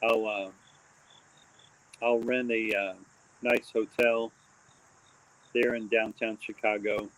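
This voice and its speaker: A man's voice: fairly low pitch, level tone, slow pace, pauses often, fairly bright tone, poor audio. A man hosting a casual live stream, rambling with lots of fillers and restarts, with crickets chirping behind him. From born in Texas, raised mostly in Indiana. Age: adult with a daughter. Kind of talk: casual rambling livestream chat.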